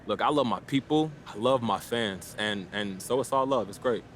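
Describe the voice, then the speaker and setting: A man's voice: on the low side, moderate pace, a little hiss or hum, lightly acted. A man playing a young, easygoing but guarded basketball rookie in a video game, answering the press in a casual, hesitant, diplomatic way. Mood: upbeat, grateful.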